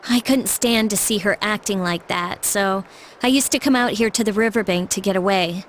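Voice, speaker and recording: A woman's voice: mid-range voice, moderate pace, a little hiss or hum, natural voice, poor audio. A young American woman voicing an earnest, resolute teenage schoolgirl in an anime game. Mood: earnest, wistful. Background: music.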